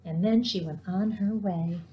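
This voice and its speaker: A middle-aged woman reading a children's storybook aloud in an animated way, with dramatic, drawn-out emphasis. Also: on the low side, highs cut off. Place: living room at home, indoors.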